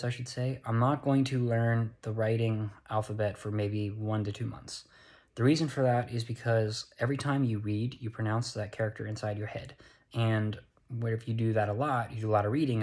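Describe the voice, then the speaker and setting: Tired young american guy rambling into his phone, keeps correcting himself, mid pitch, casual vlog talk. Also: darkish tone. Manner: rambling, self-correcting, earnest. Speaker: vlogger. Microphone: handheld phone.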